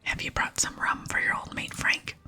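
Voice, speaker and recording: A woman's voice: normal speed, pushed and bright, soft background music. A woman voicing a male pirate sailor in a video game, reading his salty dialect lines in an ASMR whisper. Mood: conspiratorial. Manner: pirate talk with dialect grammar. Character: male pirate sailor, gruff old mate Frank.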